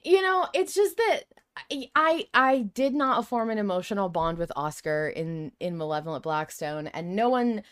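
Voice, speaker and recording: A woman's voice: medium pitch, expressive, moderate pace, clean tone, dry recording, clean studio sound. A woman podcast host talking in casual, frank and playful conversation.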